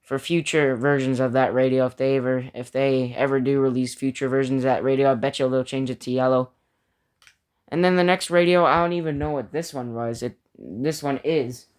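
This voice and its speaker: A man's voice: mid-range voice, steady tone, fairly quick, smooth. A man giving casual, unscripted review commentary, thinking aloud hesitantly and often repeating his phrases. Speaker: video creator ranking a personal collection.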